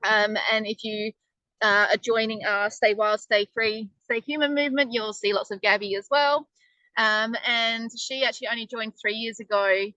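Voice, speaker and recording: A woman's voice: a little high, fairly even intonation, moderate pace, sharp tone, dry recording, muffled recording. A woman presenting a casual webinar in a chatty, upbeat way, with lots of ums. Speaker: online business presenter.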